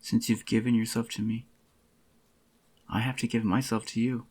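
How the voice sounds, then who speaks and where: A man playing a possessive, devoted boyfriend in a soft-spoken, intimate ASMR roleplay, tender and obsessive, with a groggy, gravelly voice. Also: medium pitch, little pitch movement, natural voice. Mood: devoted, adoring. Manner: tender, slow reassuring endearments to a lover.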